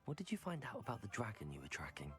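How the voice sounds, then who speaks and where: A man voicing a calm, confident young student adventurer in a video game, composed, measured and polite. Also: lowish voice, soft background music. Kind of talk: video game dialogue acting.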